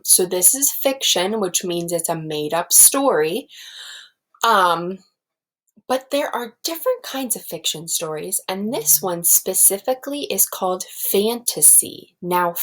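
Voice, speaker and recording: A woman's voice: on the low side, a bit bright, rough recording. A woman teacher explaining things simply to young children and reading a storybook aloud.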